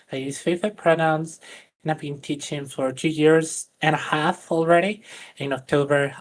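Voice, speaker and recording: A voice coach who grew up speaking Spanish teaches a class over a live stream. The delivery is earnest and explanatory, full of small fillers and restarts. A faint background hiss sits under the mid-range voice. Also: mid-range voice.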